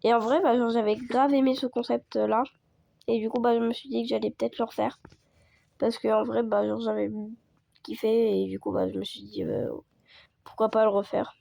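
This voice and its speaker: A woman chatting casually over gameplay as a video creator, hoarse from a cold and rambling with lots of fillers. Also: on the high side, flat delivery, moderate pace, mellow. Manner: rambling, full of fillers and restarts.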